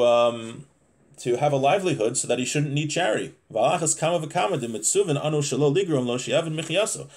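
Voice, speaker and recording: A man in his thirties with an American accent, giving an informal Torah lesson in a conversational, explanatory way, reading and commenting as he goes. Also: medium pitch. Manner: conversational, explanatory, frequent check-in tags. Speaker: religious teacher giving a class. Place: small home room, indoors.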